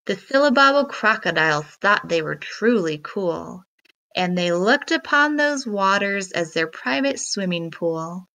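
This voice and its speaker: A woman reading a children's picture book aloud in a deliberate, expressive storytelling manner. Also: medium pitch. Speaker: read-aloud narrator.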